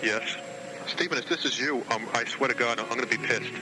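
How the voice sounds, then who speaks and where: A man in a halting, questioning phone conversation, heard on an archival home tape from the 1990s. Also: medium pitch, over music, low-quality recording.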